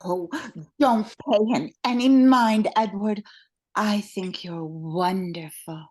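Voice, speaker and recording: A woman's voice: mid-range voice, slow. A woman playing a devoted, tender school secretary with a secret crush, in an amateur radio play. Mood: adoring. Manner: tender, encouraging.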